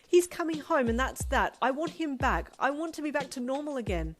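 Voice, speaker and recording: A woman's voice: sits high, expressive, normal speed, a little mellow, pitched above the performer's own voice. A doting middle-aged mother with a sing-song, pleading way of speaking, her voice lifted well above the reader's own as a woman puts her on. Music plays steadily underneath. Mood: hurt, pleading.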